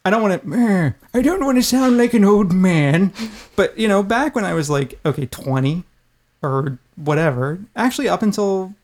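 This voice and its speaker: A middle-aged man with a high-pitched voice, chatting casually as a podcast host in an irreverent, rambling, casually profane way. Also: lively intonation, moderate pace, a little mellow. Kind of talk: casual chat.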